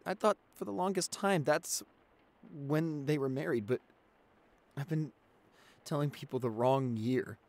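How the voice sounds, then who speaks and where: A man's voice: on the high side, unhurried, a little mellow. A man voicing a shy, anxious, apologetic nineteen-year-old boyfriend in an ASMR roleplay, stammering and hesitant as he pleads. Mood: sheepish.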